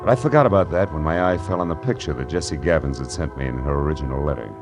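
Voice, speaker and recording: An actor playing a wry, world-weary but kindly private detective in a hard-boiled 1940s radio drama, with assured, clipped phrasing. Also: male voice, rumbling low, rapid-fire, music in the background. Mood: weary, reflective. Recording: vintage 1940s radio broadcast.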